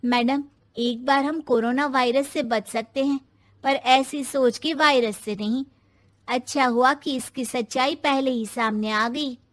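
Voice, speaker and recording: Woman as loyal young assistant, very high voice, earnest and anxious, storybook drama. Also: steady tone, a little slow, a bit bright. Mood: supportive.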